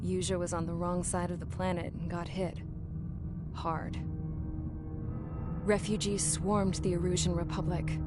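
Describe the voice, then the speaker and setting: Casting: woman playing jaded young female pilot, lowish voice, blunt wry war narration for a game, loud music underneath. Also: natural voice. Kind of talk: scripted first-person game narration.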